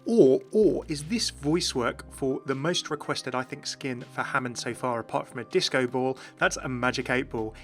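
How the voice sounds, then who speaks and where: A man's voice: medium pitch, normal speed, few pauses, a bit bright. A man hosting a gaming channel, giving enthusiast commentary as he presents game voice clips. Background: background music.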